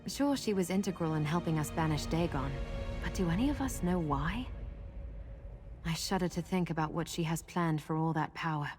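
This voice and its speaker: Fantasy game role: woman as thoughtful heroine, slightly deep, sincere delivery over noticeable music. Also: moderate pace, a little mellow, close to an everyday voice. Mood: pensive. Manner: earnest, reflective. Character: thoughtful, hopeful young woman freed from prophecy. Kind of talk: scripted fantasy game acting.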